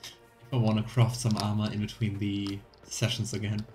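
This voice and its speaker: A man's voice: lowish voice, completely flat delivery, moderate pace, a little mellow, soft background music. A man in his thirties giving let's play commentary, musing to himself in short remarks and reading game text aloud. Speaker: game streamer. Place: small room indoors at home. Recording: clear background noise, game ambience.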